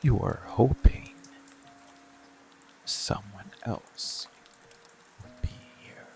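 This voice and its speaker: Man acting a very gravelly, slow seductive monster. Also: exaggerated voice. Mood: possessive, soothing. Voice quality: low gravelly voice, breathy whispered edges. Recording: music and rain behind voice. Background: music, rain.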